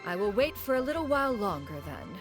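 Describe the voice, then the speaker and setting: A proud immortal lady from a video game. She speaks in stately, archaic phrases with haughty, lively swings of pitch. Faint music sits underneath. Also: fast talker. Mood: composed, proud. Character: proud, formal adeptus woman, haughty but doting master.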